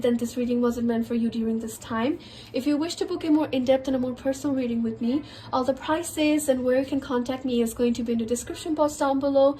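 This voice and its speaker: A woman's voice: high voice, dark tone, slight background noise. A woman reading tarot to camera in a casual, chatty way, a little sick, her voice nasal and cracking at times. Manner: chatty, heavy on filler words. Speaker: tarot reader.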